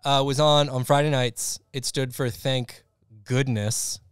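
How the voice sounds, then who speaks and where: A man's voice: medium pitch, steady tone, unhurried, clean tone, no room sound, clean studio sound. A man in his thirties, a podcast guest, chatting casually in a rambling, laid-back way.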